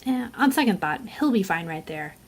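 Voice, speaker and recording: A woman's voice: medium pitch, very animated, normal speed. A woman reading scripted credits and sign-off as the announcer of an audio drama show.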